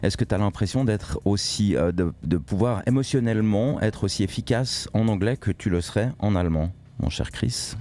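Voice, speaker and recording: A man's voice: on the low side, fairly quick, hardly any pauses, dark tone, slight background noise. A man hosting a radio talk show, chatty and full of hesitations. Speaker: radio host.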